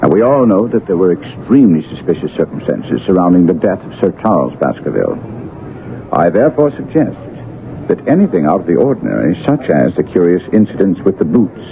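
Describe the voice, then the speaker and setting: A shrewd gentleman detective of radio drama, very low in pitch yet lively in his swings. He is formal, precise and used to command, on a narrow, tinny recording. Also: brisk, creaky, heavily acted. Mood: grave, insistent. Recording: tinny old radio recording, faint noise.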